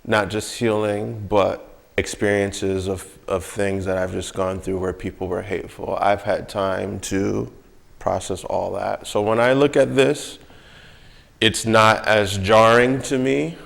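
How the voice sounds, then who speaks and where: Slow, deliberate low-voiced man with creaky edge. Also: a bit bright. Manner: deliberate, emphatic, repeats phrases for weight. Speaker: host interviewing an illustrator. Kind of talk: reflective conversation.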